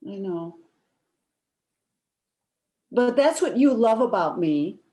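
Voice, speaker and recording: Woman acting sheepish secretive friend, very animated pitch, unhurried delivery, hesitant, playful, comic stage dialogue. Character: coy, sheepish woman guarding a naughty secret. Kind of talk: two-person comic one-act play, conversational acting.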